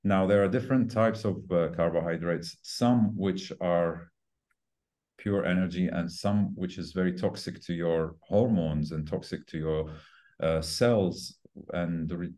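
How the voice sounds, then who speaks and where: Man, 40s, low-pitched, soft dark tone, fitness trainer interview, halting phrasing, emphatic repetition. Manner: emphatic repetition of key words. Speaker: fitness trainer and podcast guest. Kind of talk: conversational explanation of diet and fitness in an interview.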